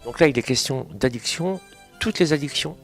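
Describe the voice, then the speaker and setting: Gravelly male radio interviewer. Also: a little high, normal speed, a bit bright, soft background music. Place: small kitchen of a community family centre.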